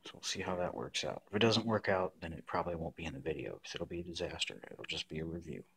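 A man's voice: low voice, lively intonation, fast talker, a bit bright. A man talking casually and matter-of-factly through a hands-on craft review at a table indoors. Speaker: prop maker reviewing and modifying a costume mask. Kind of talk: casual unboxing and tutorial talk to camera. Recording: clear background noise, handling clicks.

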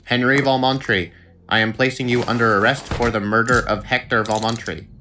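A man's voice: mid-range voice, fairly even intonation, a little fast, bright, forceful tone, a little hiss or hum, natural voice. A man playing a firm, commanding police detective in an audio drama. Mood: stern. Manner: firm, authoritative.

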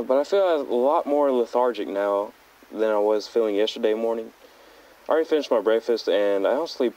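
Dark-voiced young hiker played by a man on dull tape. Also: fairly low pitch, lightly acted. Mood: tired, groggy. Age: young adult. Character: uneasy teenage male backpacker keeping audio diary. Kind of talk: found-footage audio log narration. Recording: dull lo-fi handheld tape recorder.

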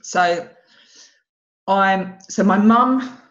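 A woman's voice: mid-range voice, extremely slow. Essex-accented woman comedian, dull call audio. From England. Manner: animated storyteller who acts out other people's lines. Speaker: stand-up comedian guest. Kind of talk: casual interview chat. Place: home room, video call.

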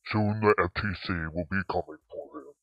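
A man voicing a menacing demon monster, growly and ominous, pitch-shifted down with boosted bass. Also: lively intonation, gravelly, dry recording, dull audio. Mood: ominous menace. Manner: slow, ominous delivery.

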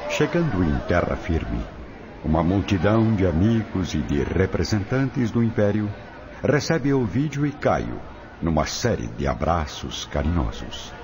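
Male narrator, scripted radio drama, deep, expressive intonation, faint background ambience. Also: unhurried, a crowd in the background, noisy background.

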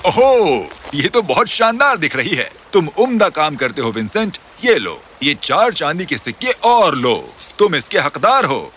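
A man voicing a hearty, emotional, generous rich businessman in a children's cartoon fairy tale, with theatrical drawn-out exclamations. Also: on the high side, huge pitch swings, bright, forceful tone, a little hiss or hum, exaggerated voice. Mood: pleased, generous.

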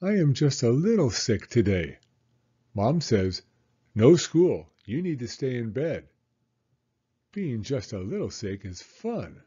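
An older man reads a picture book aloud for young children, his voice gentle and lively, rising and falling with the story. He takes it slowly, with a mellow, slightly husky tone. The recording is a little dull and lo-fi. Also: medium pitch. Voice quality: gentle, expressive storyteller reading in a slow, sing-song way. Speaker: storytime host, retired-age teacher reading aloud. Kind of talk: children's read-aloud narration.

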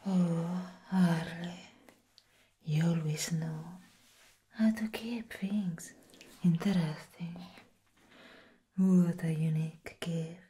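A woman playing a seductive, sinister plant villainess in a breathy whisper, as soft-spoken ASMR roleplay, slow and deliberate. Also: lowish voice, exaggerated voice. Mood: intrigued, sly.

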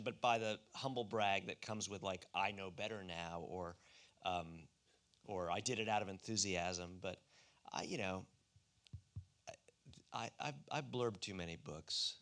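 A man in his fifties talking thoughtfully and haltingly on a stage panel into a handheld microphone. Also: on the low side, pushed and bright. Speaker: author on a panel. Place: museum auditorium stage.

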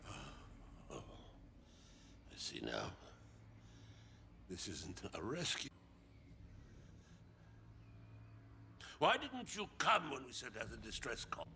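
An actor playing a bitter, defiant, deranged old survivor in a video game cutscene, halting and theatrical, lapsing into whispers. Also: male voice, medium pitch, wildly swooping pitch, gravelly, bright, forceful tone, a little hiss or hum, heavily acted. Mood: accusing. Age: older.

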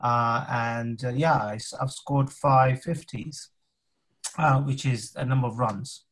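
A man's voice: mid-range voice, steady tone, slow, a bit bright. A man talking casually as a workshop participant on a video call from a home room, thoughtful and hesitant, often restarting his sentences. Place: home room with map on wall. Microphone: laptop webcam on video call.

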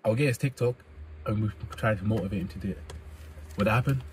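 Fast-talking low-voiced man. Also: a little hiss or hum. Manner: quick interjections, agreeable, London slang. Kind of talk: casual chat. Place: inside a parked car at night. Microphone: phone camera on the dashboard.

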